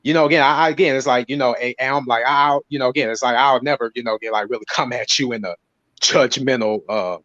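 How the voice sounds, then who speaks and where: A man speaking in a casual discussion, halting and restarting often with many fillers. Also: medium pitch, steady tone, fairly bright tone, no room sound. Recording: dull muffled line.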